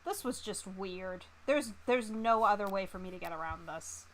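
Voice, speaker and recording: A woman streamer reading a game aloud and commenting on it in a playful, animated, exclamatory way. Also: medium pitch, normal speed, low-quality recording. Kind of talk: live game commentary and dramatic read-aloud.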